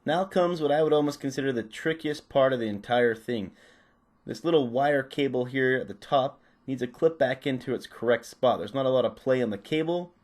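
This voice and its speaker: A man's voice: mid-range voice, brisk, a little mellow. Man talking through a hands-on repair tutorial. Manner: unscripted, narrating while working. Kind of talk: casual step-by-step hands-on instruction.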